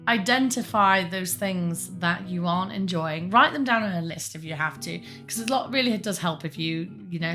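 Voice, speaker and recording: A woman with a croaky voice, giving rambling, chatty advice to her viewers with self-mocking asides. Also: lowish voice, sharp tone. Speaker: larp advice host. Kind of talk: casual advice monologue.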